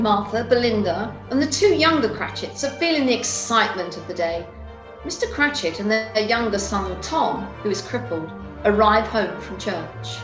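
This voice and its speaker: A woman reading scripted narration for a radio play. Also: mid-range voice. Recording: echoey room on home call. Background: music.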